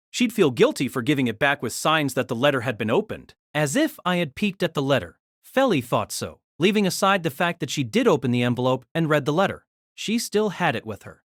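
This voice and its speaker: A woman's voice: low voice, no room sound, studio quality. A woman narrating a scripted, dramatized story as its narrator.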